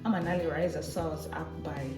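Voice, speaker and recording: A young woman talks casually to her camera as a travel vlogger. Her voice is a little on the low side, and music plays clearly behind her. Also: steady tone, fast talker. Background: background music.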